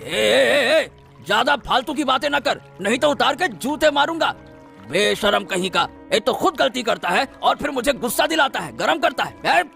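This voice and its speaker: A man voicing an arrogant, rich boat-owning male villain in a cartoon, with a gravelly, bullying voice. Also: very high-pitched, animated, moderate pace, sharp tone, soft background music, heavily acted. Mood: angry.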